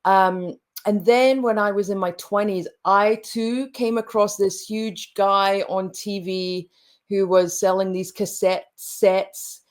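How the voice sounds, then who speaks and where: A woman's voice: medium pitch, steady tone. A woman in her late forties from Scotland, a coach speaking reflectively as an interview guest, with frequent restarts. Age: late 40s. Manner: reflective, halting, many restarts. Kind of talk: conversational interview.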